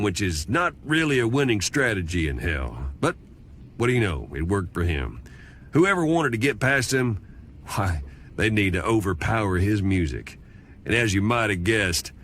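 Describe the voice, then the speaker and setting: A man's voice: fairly low pitch, slight background noise, heavily acted. A man playing a wry, folksy demonic narrator, telling an infernal legend for a video game. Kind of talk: scripted storytelling narration. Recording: loud music behind the voice. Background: loud music.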